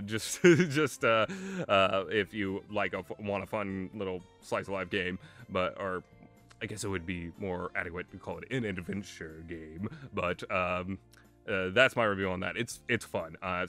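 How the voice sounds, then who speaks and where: A young man giving a casual, rambling game review in a halting way, often chuckling as he goes. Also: low-pitched, a bit bright. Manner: hesitant, halting, often chuckling mid-sentence.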